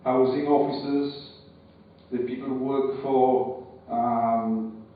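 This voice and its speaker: Man, audience speaker at a meeting, halting and careful, heard from a distance in a reverberant hall with dull sound. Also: mid-range voice, fairly even intonation. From Wales. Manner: hesitant, repeats words, cautious. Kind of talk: unscripted public speech. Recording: echoey hall, far from mic, dull sound.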